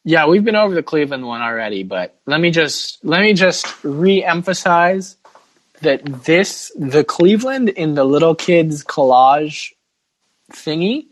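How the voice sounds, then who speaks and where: A man's voice: on the high side, lively intonation, unhurried. A man co-hosting a casual sports podcast, joking and steering the banter playfully. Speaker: podcast co-host.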